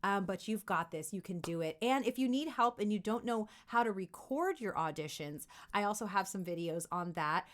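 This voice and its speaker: A woman's voice: mid-range voice, lively intonation, normal speed, hardly any pauses, a bit bright. A woman giving an informal tutorial as a voice acting coach, talking directly and expressively to kids and parents in an encouraging way. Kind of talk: informal tutorial talk to camera.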